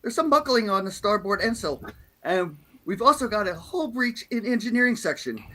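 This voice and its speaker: Starship bridge officer, female podcaster acting: medium pitch, dutiful, businesslike, amateur sci-fi drama. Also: very animated, normal speed, pushed and bright. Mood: focused. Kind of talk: amateur script read of sci-fi film.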